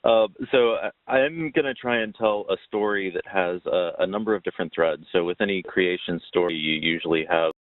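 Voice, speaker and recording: A man giving an informal explanatory presentation in a halting way, pausing mid-sentence, over a muffled phone-like line. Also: low voice, dry recording. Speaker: webinar presenter.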